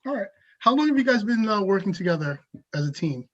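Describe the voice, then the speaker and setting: A man hosts a real estate talk show, throwing questions and light jokes at his guests. His voice is high for a man. The recording has a dull, muffled quality. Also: big pitch swings, fast, fairly bright tone. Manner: upbeat, jokey banter. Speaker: host. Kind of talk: podcast hosting.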